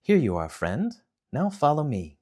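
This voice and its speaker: A man's voice: on the high side, huge pitch swings, measured pace, dark tone, pitched above the performer's own voice, heavily acted. Man voicing Momotaro, a firm young hero. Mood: steady. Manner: plain, firm replies.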